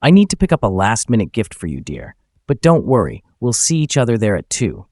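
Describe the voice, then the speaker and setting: A man's voice: mid-range voice, wildly swooping pitch, brisk, a little mellow, dry recording, studio quality. A man voicing a betrayed husband in a narrated story, falsely polite and coolly menacing, with a smug, controlled delivery. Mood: false cheer.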